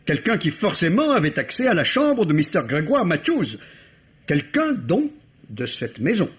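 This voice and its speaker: A man's voice: fairly high pitch, huge pitch swings, moderate pace. A man acting a shrewd, insistent police inspector in a vintage radio mystery, speaking formally and precisely. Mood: explanatory. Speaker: radio drama actor. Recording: dull, lo-fi old radio recording.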